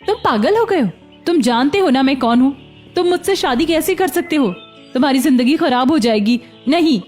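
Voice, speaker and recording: A woman acting a high-pitched, wounded young beggar woman. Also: animated, normal speed, a little mellow, soft background music. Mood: distressed, protesting. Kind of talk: animated story dialogue.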